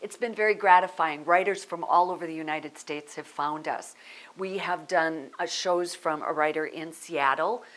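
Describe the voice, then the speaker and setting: A woman's voice: deep voice, moderate pace, a bit bright. A woman speaking informally in an interview as the artistic director of a theatre group.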